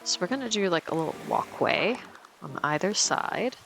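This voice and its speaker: Low-voiced woman with a lingering cold. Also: animated, moderate pace, creaky, over music. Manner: chatty and easygoing, with frequent ums and restarts. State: getting over a cold, a bit sniffly. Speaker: let's play host. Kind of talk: casual gameplay commentary.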